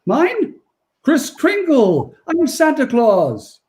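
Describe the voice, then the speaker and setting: A man's voice: extremely high pitch, wildly swooping pitch, very slow, a little mellow, full character voice. A man playing a kindly, jolly old Santa Claus with a genial, courtly manner in a 1940s-style radio play.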